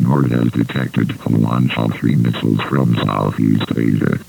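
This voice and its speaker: A man's voice: rumbling low, flat delivery, unhurried, vocal fry, a little mellow, breathy edge, soft background music, low-quality recording. A man reading a scripted emergency alert as a formal, impersonal announcer.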